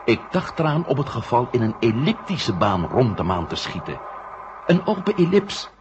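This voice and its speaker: A man playing a quick-thinking, drily wry space scientist in a 1970 radio drama, reasoning aloud with hesitant pauses. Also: mid-range voice, very animated, lightly acted. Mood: eager, probing. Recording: noisy, muffled 1970 radio recording.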